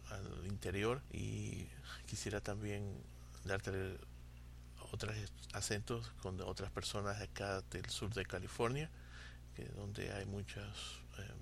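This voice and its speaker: A man from coastal Ecuador, speaking with a coastal Ecuadorian accent, leaves a casual recorded message for a podcast host in a halting, unhurried way. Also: fairly low pitch, vocal fry, a little hiss or hum. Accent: coastal Ecuadorian Spanish. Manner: halting and unhurried, pausing often to find words. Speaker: listener sending a recorded message.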